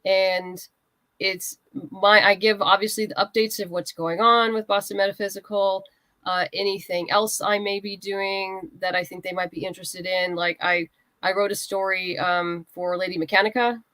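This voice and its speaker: A woman's voice: medium pitch, fairly even intonation, normal speed, pushed and bright, dry recording. A woman chatting casually as an interview guest, giving practical advice in a conversational way. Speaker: guest writer and comics creator.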